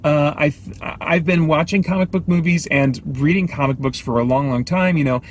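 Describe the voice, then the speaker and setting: A man giving a casual, off-the-cuff film review, thinking aloud in a halting, measured way. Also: on the high side, slight background noise. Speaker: movie review host.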